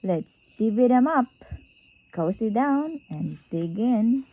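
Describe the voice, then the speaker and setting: A woman's voice: on the high side, animated, takes it slowly, warm tone, no room sound. A woman voicing a friendly, generous badger in a children's storybook, with an eager, playful delivery. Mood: eager, grinning.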